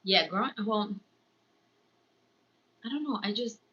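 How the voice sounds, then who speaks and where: A woman who grew up speaking Spanish first chats casually to a livestream. Her voice is bright and forward at a medium pitch. She rambles in an informal, talkative way, and the sound is a little lo-fi. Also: animated, measured pace, breathy edge. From born in Ecuador, raised in New York. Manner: chatty, rambling, slips into Spanish phrases. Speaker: livestream host, crafts vlogger. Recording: slightly dull, lo-fi stream audio.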